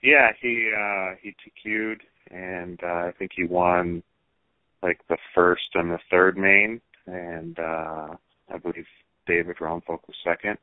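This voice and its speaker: A man talking casually as a racer being interviewed over the phone, pausing often as he thinks through his answers. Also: sits low, expressive, no echo. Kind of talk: casual phone interview about hobby racing. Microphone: telephone. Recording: tinny, band-limited phone line.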